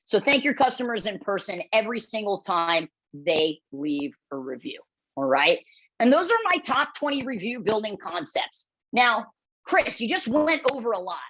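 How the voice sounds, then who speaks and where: A woman with a loud voice presenting a webinar, blunt and direct, often checking in with the audience as she coaches. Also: mid-range voice, sing-song, normal speed, breathy edge. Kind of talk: instructional webinar talk. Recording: dull lo-fi webinar audio.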